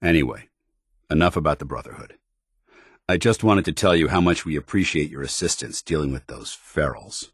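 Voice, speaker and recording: An actor playing a stern, dutiful armored soldier in a video game, speaking in a formal, clipped military manner. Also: male voice, very deep voice, lively intonation, moderate pace, slightly rough, no echo, clean studio sound, exaggerated voice. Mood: earnest, measured. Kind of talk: video game companion dialogue acting.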